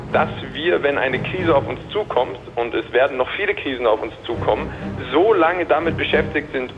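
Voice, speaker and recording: A man giving earnest, explanatory expert commentary in everyday speech. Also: mid-range voice. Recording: dull lo-fi sound. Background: prominent music.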